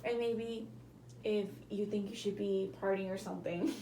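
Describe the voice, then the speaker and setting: A woman with a hoarse, cracking voice, giving a casual tarot reading to viewers and often apologizing. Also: mid-range voice, fairly even intonation, moderate pace, dark tone, echoey room, slight background noise, rough recording. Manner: chatty, apologetic, hedging with fillers. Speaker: tarot reader.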